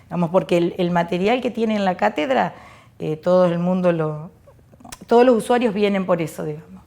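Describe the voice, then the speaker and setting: A woman with an Argentine accent explaining informally in an interview as library staff, in a halting way with frequent filler phrases. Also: on the low side, vocal fry, mellow. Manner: halting, leans on "digamos".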